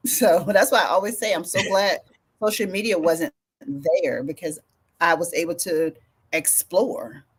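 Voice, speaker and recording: A woman co-hosting a casual podcast, telling relaxed stories and laughing between them. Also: fairly low pitch, a little slow, breathy edge.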